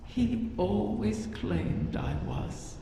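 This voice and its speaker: A woman's voice: mid-range voice, huge pitch swings, heavy background noise, exaggerated voice. A woman voicing an ancient, sly and regal female dragon in a video game, with grandiose delivery and otherworldly monster processing. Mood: wistful, solemn. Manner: slow, grandiose, theatrical. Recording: cavernous long reverb, music behind.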